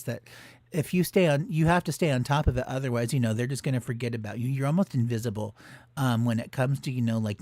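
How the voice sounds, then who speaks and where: A man hosting a live call-in astrology show, speaking softly with many ums and you-knows. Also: mid-range voice, dry recording. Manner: soft-spoken, filler-heavy. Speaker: host.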